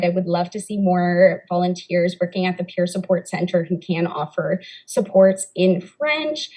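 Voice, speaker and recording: A young woman giving steady, assured answers as a candidate in a student debate. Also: mid-range voice, low-quality recording. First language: English. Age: young adult.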